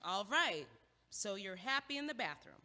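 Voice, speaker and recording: An actress in an audio play, playing a wry young woman who teases her friend with quick wit, recorded with a long hall reverb. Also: mid-range voice, sing-song, noisy background, natural voice. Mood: teasing. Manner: teasing, quick-witted delivery.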